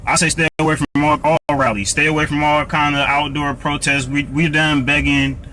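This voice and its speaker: A man's voice: on the high side, level tone, normal speed, bright, forceful tone, a little hiss or hum. An American man hosting a live Q&A, answering viewer comments in an earnest, preachy way, often restarting and repeating words. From United States. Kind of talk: live Q&A talk.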